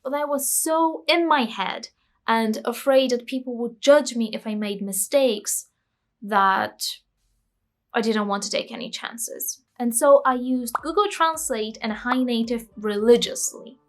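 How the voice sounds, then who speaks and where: A woman's voice: a little high, unhurried. A woman in her twenties from Bulgaria, a native Bulgarian speaker, narrating a scripted vlog in a candid, self-deprecating way. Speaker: language-learning vlogger.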